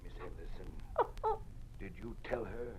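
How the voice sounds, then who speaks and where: An actor playing a kindly, protective old college dean with a gravelly voice, in 1950s radio drama style. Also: male voice, mid-range voice, huge pitch swings, slow pace, full character voice. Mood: angry, protective. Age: older. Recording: noisy old radio broadcast.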